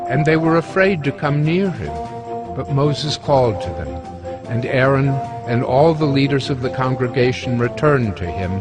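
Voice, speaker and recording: A man reading scripted scripture narration as the narrator of an audio drama. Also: mid-range voice. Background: music.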